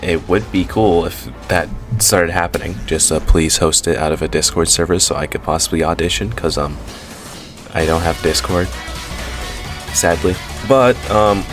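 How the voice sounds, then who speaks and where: Low-voiced man giving casual commentary. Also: normal speed, over music. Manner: rambling, self-correcting, many fillers. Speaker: commentary channel host.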